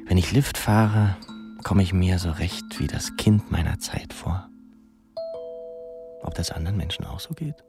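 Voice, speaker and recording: An actor playing a wry, dreamy, self-mocking young pupil in a radio drama monologue, musing and halting in a theatrical way. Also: male voice, deep voice. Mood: wry, reflective. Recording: music behind the voice.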